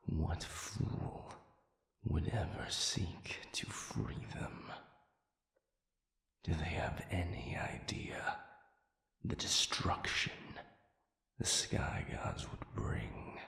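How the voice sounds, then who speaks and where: A man playing an ancient, grave demon in a dramatic audio roleplay, speaking in a breathy half-whisper with halting, formal, solemn diction. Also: low-pitched, fairly bright tone, roomy sound, exaggerated voice. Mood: alarmed, stern.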